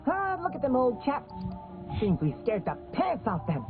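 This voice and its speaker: A woman's voice: on the high side, big pitch swings, moderate pace. A woman playing a cheeky talking squirrel, amused and condescending, in a video game. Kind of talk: video game character acting. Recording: tinny game capture, faint music.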